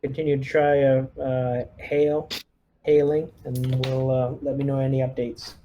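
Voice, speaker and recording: A man chats casually through a tabletop roleplaying game as the squad leader. His voice is mellow and dark, mid-pitched. There is faint noise behind him. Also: level tone, a little slow, lo-fi sound. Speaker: player acting as squad leader.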